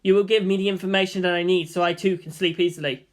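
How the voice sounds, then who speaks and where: A woman's voice: lowish voice, steady tone, fast, natural voice. Woman playing a stern, irritable woman scientist. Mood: threatening, sardonic.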